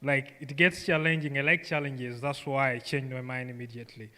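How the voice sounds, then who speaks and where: A man's voice: medium pitch, level tone, brisk, bright, forceful tone. A young man with a Ugandan accent giving an informal personal speech to an audience, telling his story conversationally. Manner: conversational storytelling with frequent "you know" and "like". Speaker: programme graduate giving a speech. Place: event hall, live on stage. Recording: reverberant hall sound.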